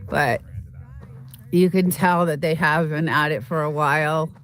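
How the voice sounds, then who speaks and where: A woman hosting a casual live stream outdoors in the desert, sniffly with a slight cold, talking in a halting, rambling way full of fillers as she greets viewers. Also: deep voice, steady tone, fairly bright tone. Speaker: livestream host.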